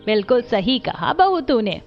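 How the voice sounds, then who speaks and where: A man puts on an older mother-in-law for a cartoon. She is caring and approving, speaking high over music in dull-sounding audio. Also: very animated, a little slow, heavily acted. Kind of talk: cartoon story character acting.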